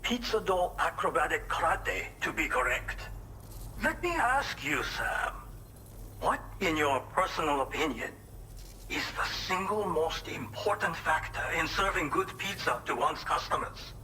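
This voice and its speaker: Game role: eccentric male pizza chef, grandiose and formal, forceful bright tone, slightly raised pitch. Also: big pitch swings, unhurried, a little hiss or hum, heavily acted, low-quality recording. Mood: earnest, pompous. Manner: pompous, formal, dramatic phrasing. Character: eccentric, grandiose pizza chef with theatrical martial-arts zeal. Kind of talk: video game cutscene acting.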